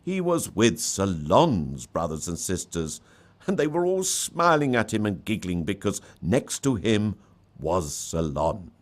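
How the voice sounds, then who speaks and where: A man reading a story as a dramatic narrator, with a theatrical, mock-grave delivery and deliberate dramatic pauses. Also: low-pitched, sing-song.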